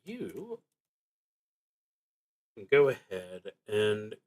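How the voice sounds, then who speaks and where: Slow-paced, musing man. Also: on the low side, wildly swooping pitch, a little mellow. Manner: halting, musing, trails off mid-thought. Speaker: streamer playing a solo tabletop roleplaying game. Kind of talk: unscripted solo game play narration, thinking aloud.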